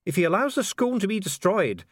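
Guy doing a snooty dwarf official for a storybook, high voice that swings around, very precise and solemn. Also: big pitch swings, a little fast, no room sound, studio quality. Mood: stiff, solemn. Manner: formal, precise, pompous. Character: stiff, solemn, disdainful male dwarf official. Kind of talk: audiobook character acting.

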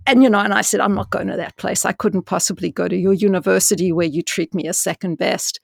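A woman who is a dean and scientist, telling her story frankly in an interview with a loud voice, peppered with "you know" and rising for emphasis. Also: mid-range voice, fairly even intonation, fast talker, vocal fry, dry recording, clean studio sound.

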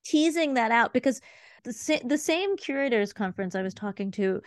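A woman in her forties from Cleveland, talking as an interview guest in a quick, chatty way full of fillers and restarts. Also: fairly high pitch, lively intonation, no echo, clean studio sound. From Cleveland, Ohio, USA. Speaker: guest, art consultant. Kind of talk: casual conversational interview.

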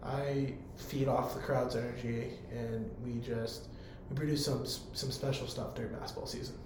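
A young man with a hoarse voice talks eagerly and loosely, piling up fillers and restarts. His voice sits at an average pitch and bounces around a strongly echoing room. A faint hum runs underneath. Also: little pitch movement, normal speed. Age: young adult. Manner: eager, rambling, filler-heavy. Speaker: college public address announcer. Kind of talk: casual interview answers. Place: room on a college campus.

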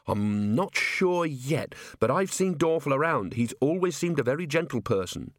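A man's voice: medium pitch, expressive, normal speed, dry recording, clean studio sound, pitched above the performer's own voice, natural voice. A man voicing an earnest, polite young watchman in an audiobook, explaining things patiently and precisely. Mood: earnest, reasoning. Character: earnest, polite, literal-minded young male city watchman. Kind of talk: audiobook character voice.

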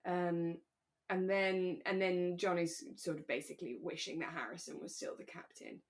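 A woman co-hosting a podcast in relaxed, casual conversation, thinking aloud as she talks. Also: on the low side, normal speed. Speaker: podcast co-host; actor. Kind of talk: relaxed casual chat about a radio drama.

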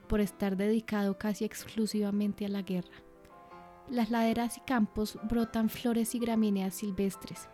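A woman plays an ardent, dreamy lover in a radio drama, voicing a poetic letter. Her tone is soft-edged and mellow, lyrical in phrasing, with music underneath. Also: mid-range voice, close to an everyday voice.

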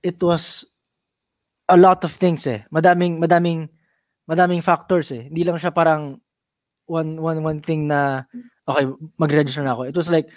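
A young Filipino man co-hosting as a DJ, earnest and a little halting, repeating phrases and mixing Filipino with English. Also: on the high side, fairly even intonation, darkish tone, no echo, studio quality. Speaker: radio DJ co-host. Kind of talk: casual talk-show banter.

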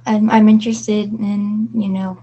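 A woman's voice: medium pitch, flat delivery, a little slow, warm tone, dull audio. Young woman from Virginia, chatty student, filler-heavy. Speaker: student guest. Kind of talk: casual chat.

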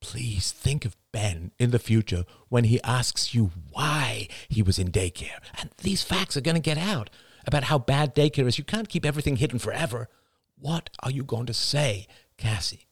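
A man's voice: fairly low pitch, very animated, normal speed, dry recording, clean studio sound. A man playing an intense, insistent husband and father in dramatic audiobook dialogue. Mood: pleading.

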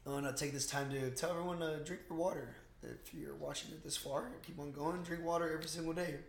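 A young man co-hosting a podcast, recapping a movie in casual, slangy, excitable talk with plenty of swearing. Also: on the high side, quick pace, gravelly, slight background noise. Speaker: podcast host. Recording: roomy, echoing room sound.

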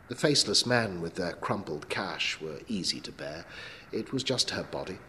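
A man reading a short story as a dramatic narrator, in a measured and sombre manner. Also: deep voice, pushed and bright. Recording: long hall reverb, clear background noise.

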